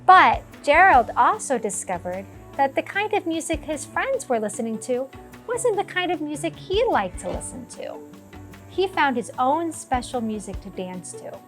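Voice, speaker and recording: A woman narrates a children's picture book in a high voice with lots of rise and fall. She takes her time over the phrases, and music plays behind her. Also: big pitch swings, normal speed, a bit bright. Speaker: storytime teacher reading aloud. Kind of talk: expressive children's storybook narration.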